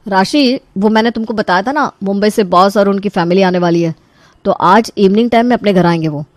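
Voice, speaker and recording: A woman playing an easygoing young husband in an animated story, with a casual, coaxing and teasing manner. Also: medium pitch, brisk, dry recording, lightly acted. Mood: businesslike. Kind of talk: Hindi animated story voice acting.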